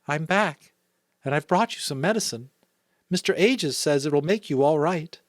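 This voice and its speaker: Man voicing a soothing, higher-pitched mother mouse. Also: lively intonation, moderate pace, no room sound, clean studio sound, close to an everyday voice. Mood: reassuring. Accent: American. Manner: soothing, reassuring. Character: gentle, reassuring mother field mouse.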